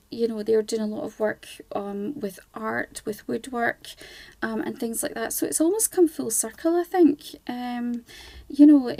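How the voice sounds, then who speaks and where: A woman's voice: on the high side, mellow, no room sound. Chatty Scottish woman in her forties. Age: 40s. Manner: chatty, frequent ums and restarts. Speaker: guest, charity volunteer and ambassador. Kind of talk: casual radio interview.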